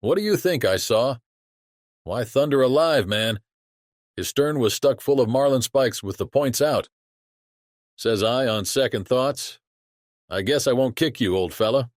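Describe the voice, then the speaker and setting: A man's voice: mid-range voice, expressive, measured pace, pauses often, no echo, clean studio sound, lightly acted. A man playing a chatty sailor who tells a yarn to his shipmate in colloquial, exclamatory audiobook acting. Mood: animated musing.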